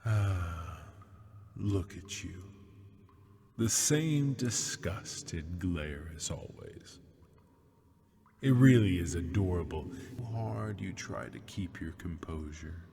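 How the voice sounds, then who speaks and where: A man playing a seductive, taunting demon prisoner in an ASMR roleplay, husky and breathy, teasing in mock-sweet tones and often sinking toward a whisper. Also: deep voice, expressive, dark tone, slight background noise, heavily acted. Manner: slow, teasing, mock-sweet, lingering on words. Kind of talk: ASMR roleplay, scripted monologue. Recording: long hall-like reverb.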